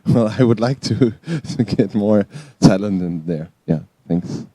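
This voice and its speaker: A man from Denmark introducing himself at a workshop, loud and laughing as he talks. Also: lowish voice, expressive, measured pace, dry recording. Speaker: workshop participant.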